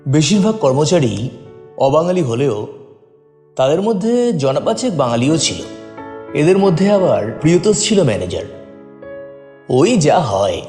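A man's voice: fairly high pitch, warm tone. A man reading a scripted first-person story as a dramatic narrator. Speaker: story reader. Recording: long reverb, faint music behind.

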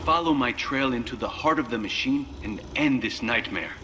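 An actor playing a solemn researcher who explains things in measured, dramatic fashion in a sci-fi game. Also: male voice, mid-range voice, background noise, natural voice. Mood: urgent, hopeful. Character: grave male researcher leaving a recorded message.

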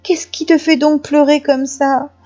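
A little old grandmother, acted by a woman, kindly and a bit sly, with a small quavering voice pitched high. She belongs in a children's storybook, and the sound is slightly dull. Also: level tone, measured pace, mellow, faint music behind. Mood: gentle.

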